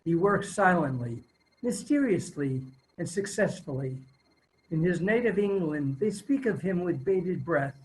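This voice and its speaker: A man playing an old-time radio announcer and host, reading the script in a halting, stumbling way. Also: sits high, expressive, rough recording. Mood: formal, narrating. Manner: halting, stumbling table-read delivery. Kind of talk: 1930s radio show read aloud. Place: virtual table read from home.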